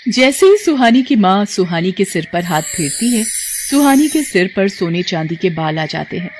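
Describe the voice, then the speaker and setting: A woman's voice: medium pitch, sing-song, moderate pace, a little mellow, soft background music. A woman narrator reading a scripted fairy tale in storybook style.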